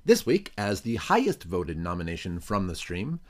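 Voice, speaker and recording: A man's voice: sits low, huge pitch swings, normal speed. A man co-hosting a podcast as a dramatic reader, delivering scripted comedic banter in a theatrical announcer manner.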